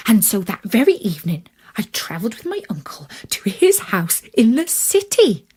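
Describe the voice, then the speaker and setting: A woman storyteller reading a scripted children's fairytale in the first person, in an expressive, deliberate manner. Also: a little high, breathy edge. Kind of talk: scripted fairytale narration in character.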